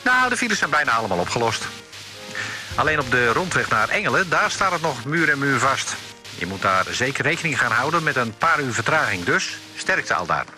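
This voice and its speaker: A man playing a brisk traffic reporter on the radio in a comic play. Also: medium pitch, sing-song, music in the background, close to an everyday voice. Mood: breezy.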